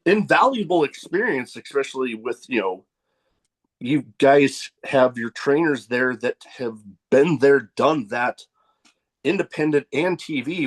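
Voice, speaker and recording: Slow-talking, hesitant male podcast host. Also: medium pitch, low-quality recording. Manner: hesitant, agreeable, many pauses and fillers. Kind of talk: podcast interview.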